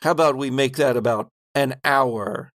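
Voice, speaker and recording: A man's voice: medium pitch, moderate pace, fairly bright tone, dry recording, studio quality, natural voice. A man playing an agitated, stern space station commander in a tabletop game, insistent and stammering with frustration. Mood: agitated, demanding.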